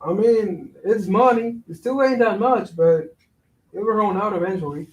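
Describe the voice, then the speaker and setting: A man's voice: high voice, a little slow. An actor playing a cautious, skeptical young father in a staged reading, answering his friend with blunt slangy retorts. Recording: roomy echo, distant microphone.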